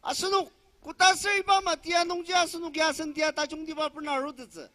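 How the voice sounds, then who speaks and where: Guy translating a rally speech, super high pitched and forceful, declaims it bit by bit. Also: flat delivery, fast talker. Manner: declamatory, phrase by phrase. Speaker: interpreter at a political rally. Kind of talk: loud consecutive interpreting of a speech.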